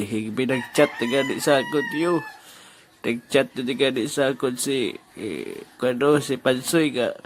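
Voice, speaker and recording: Man as a slow, slightly high scrap buyer; hissy home recording. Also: close to an everyday voice. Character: easygoing older male scrap buyer. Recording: noisy amateur recording.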